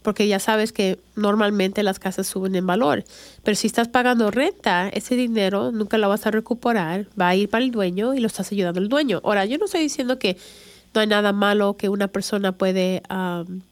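A woman's voice: medium pitch, brisk, dry recording. A middle-aged woman from Chicago hosting a radio show, talking casually with many fillers and restarts. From Chicago, USA. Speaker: radio show host, real estate agent.

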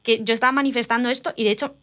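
A woman from Spain, telling personal stories in an animated, confiding casual chat. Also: a little high, racing.

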